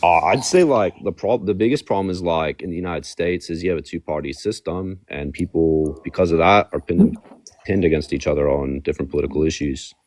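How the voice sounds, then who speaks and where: A man's voice: sits low, brisk, a little mellow. A man calling into a stream, speaking hesitantly with many fillers. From Washington State, raised in Australia. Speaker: caller.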